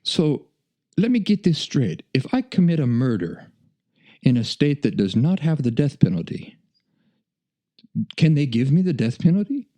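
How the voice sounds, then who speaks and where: A man voicing a curious young Israeli man in a deliberately clumsy put-on Israeli accent. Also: on the high side, big pitch swings, warm tone, voice pushed up.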